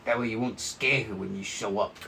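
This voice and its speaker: A man's voice: on the low side, fairly even intonation, a little fast, vocal fry, sharp tone, a little hiss or hum. A man playing a timid ex-security guard, speaking in plain colloquial terms in a cartoon script table read. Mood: guarded, confiding. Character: timid, husky male ex-security guard, cooperative informant. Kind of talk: animated series table read.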